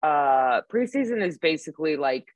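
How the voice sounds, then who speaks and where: A woman in her twenties with a notably low voice, chatting bluntly as a podcast co-host. She swears freely and gets excited about sports. The sound is dry and close, with no room echo. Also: normal speed. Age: 20s. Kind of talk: casual chat.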